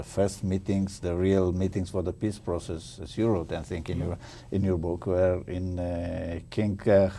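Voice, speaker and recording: Moroccan-born guy, non-native english, really dark creaky voice, halting and careful diplomat talk. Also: deep voice, a little hiss or hum. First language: not English. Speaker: ambassador, panel guest. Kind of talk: diplomatic discussion.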